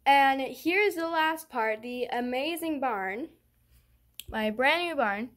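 A young woman giving a casual, chatty show-and-tell tour of her toy barn setup with a handheld phone camera, pausing on fillers. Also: sits high, animated, a bit bright. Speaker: channel host.

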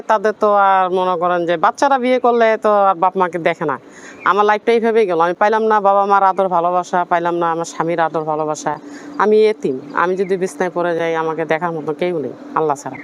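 Woman, Bangladeshi accent, quick speech, mid pitch, insistent pleading, personal appeal to viewers. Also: a little hiss or hum. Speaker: interviewee telling own life story.

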